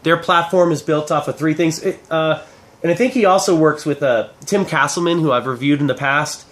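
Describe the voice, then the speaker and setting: A man in his forties with a head cold, giving a casual, rambling video pitch as an online product reviewer, informally repeating and rephrasing himself. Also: normal speed, fairly bright tone. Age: 40s. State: head cold, clearing throat. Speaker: affiliate marketing reviewer.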